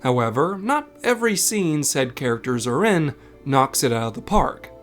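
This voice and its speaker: A man's voice: a little high, sing-song, normal speed, fairly bright tone, soft background music. A man delivering scripted narration as the host of a video essay. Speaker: video essay narrator and game content creator.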